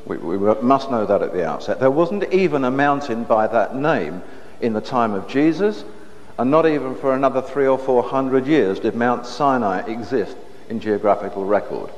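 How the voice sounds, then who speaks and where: A middle-aged man giving a public lecture on a lapel mic, talking in a measured way with frequent restarts and repeated words. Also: mid-range voice, lively intonation. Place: stage with curtain backdrop, indoors. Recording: dull lo-fi hall recording with faint hiss.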